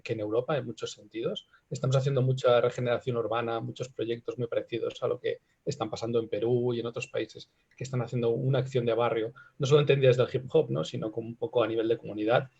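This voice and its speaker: A man with an accent from Spain talks quickly and earnestly on a panel, checking in with his listeners as he goes. His voice is dark and mellow. The audio sounds dull and lo-fi. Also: mid-range voice, creaky, husky. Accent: Spanish (Spain). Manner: fast, earnest, tag questions. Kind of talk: casual panel talk.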